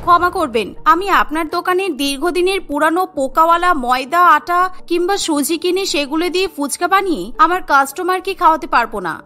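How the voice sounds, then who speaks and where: A woman's voice: very high-pitched, fast, hardly any pauses, sharp tone. A woman voicing an honest, hardworking young wife who runs a snack cart in a cartoon, speaking politely but firmly. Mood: firm, reproachful. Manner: polite but firm, pleading.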